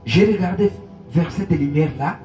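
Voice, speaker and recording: A male pastor preaching in French with an interpreter, telling a story with emotion, moving between hushed and raised passages. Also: fairly high pitch, animated, moderate pace. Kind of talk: sermon with interpreter. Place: church hall. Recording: echoey church hall, far from mic, music behind.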